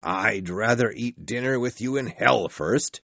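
A man's voice: medium pitch, unhurried, gravelly, a bit bright, dry recording. A man voicing a grumpy, miserly old businessman in a storybook, curt, scornful and irritable. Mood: angry, sarcastic. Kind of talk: storybook character voice.

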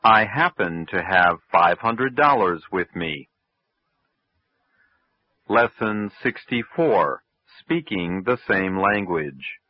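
A man reads an English lesson in a very low, unhurried voice, enunciating every phrase for learners. The sound is dry and close, with the muffled, lo-fi quality of an old radio broadcast. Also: animated, no room sound. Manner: slow, careful, clearly enunciated. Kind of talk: scripted textbook reading.

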